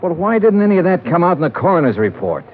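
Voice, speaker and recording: An American man playing a cool, tough investigator in a vintage radio detective drama, terse, deadpan and sardonic. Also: a little high, fast talker. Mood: terse, guarded. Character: cool, wry, tough freelance investigator narrating his case. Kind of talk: 1940s-50s radio detective acting and scripted narration. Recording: tinny old radio recording with music.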